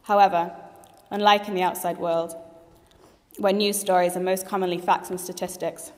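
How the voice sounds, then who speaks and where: A woman's voice: fairly low pitch, little pitch movement, moderate pace, darkish tone. A young woman in her late teens, a graduating student, giving a heartfelt formal graduation speech with a few light jokes in a large assembly hall. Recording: long reverb of a large hall.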